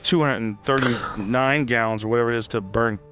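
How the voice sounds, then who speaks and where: A man's voice: medium pitch, a little fast, over music. A man in casual, conversational discussion, like a podcast speaker.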